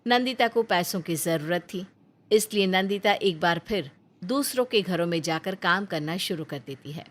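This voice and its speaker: A woman's voice: on the low side, expressive, moderate pace. A woman reading scripted narration for a cartoon family story as its narrator.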